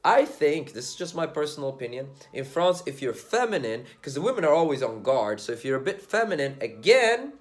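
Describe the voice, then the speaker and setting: A young man from Sweden, talking straight to his viewers in a loose, slangy way. His pitch rises and falls a lot, and it sits a little high for a man. He is blunt and swears freely. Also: big pitch swings, brisk, hardly any pauses. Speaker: dating commentator vlogger. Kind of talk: casual monologue to camera.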